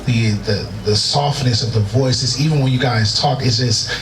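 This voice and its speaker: A man's voice: mid-range voice, moderate pace. This is a man speaking with earnest conviction at a panel. He often repeats a word while looking for the next one. He sits away from the mic in a roomy space with a faint hum behind him. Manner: earnest, repeats words while searching for them. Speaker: panel guest. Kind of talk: heartfelt panel remarks. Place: event venue in Tanzania. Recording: echoey room from far off with background noise.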